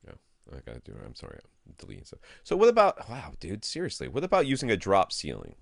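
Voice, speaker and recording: A man's voice: deep voice, wildly swooping pitch, fast talker, creaky, no echo, studio quality. A man co-hosting a podcast in a casual, informal and blunt way, sometimes reading out listener questions. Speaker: podcast co-host.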